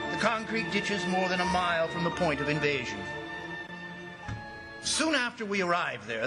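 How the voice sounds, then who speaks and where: An actor playing an anxious commissioner who narrates a radio drama in a grave, theatrical manner. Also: male voice, high voice. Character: anxious government commissioner, narrator recounting the siege.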